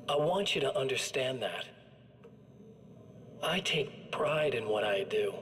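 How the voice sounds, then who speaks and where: A man's voice: mid-range voice, fairly bright tone, a little hiss or hum. A man playing a sadistic evil-twin killer in a video game, chatting with smug, casual menace. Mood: mocking, menacing. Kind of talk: video game monologue acting.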